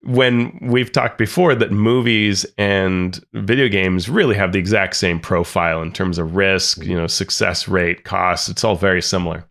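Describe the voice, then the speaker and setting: Gravelly low-voiced man. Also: moderate pace, hardly any pauses, fairly bright tone. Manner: reads quotes aloud then comments, many restarts. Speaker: podcast co-host. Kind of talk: casual podcast discussion.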